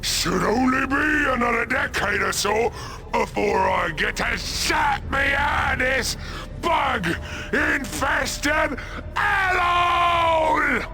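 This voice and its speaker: Shouting, gravelly Cockney ork. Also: male voice, extremely high pitch, slow pace, exaggerated voice. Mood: mocking, belligerent. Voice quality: gravelly, harsh. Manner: bellowing, crude rough grammar. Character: loud, brash ork warboss, crude, belligerent schemer. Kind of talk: video game cutscene acting. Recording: game music under the voice.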